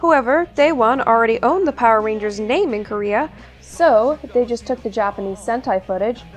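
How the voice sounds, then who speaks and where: A woman delivers scripted video essay narration as a guest narrator. Her voice is fairly high, with music playing underneath. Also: moderate pace. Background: background music.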